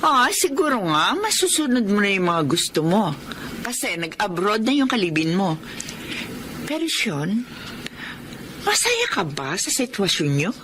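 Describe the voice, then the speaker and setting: Lively, probing Filipino woman nosy confidante. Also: mid-range voice, unhurried, pauses often, background noise. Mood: curious. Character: inquisitive older sister-figure, familiar confidante. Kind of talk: Filipino radio drama acting.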